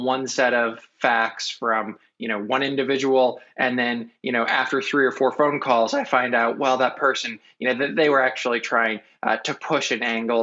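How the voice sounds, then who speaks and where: American male correspondent, bright forceful voice, measured earnest commentary, muffled lo-fi recording. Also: mid-range voice. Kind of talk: conversational political commentary. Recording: dull, lo-fi audio.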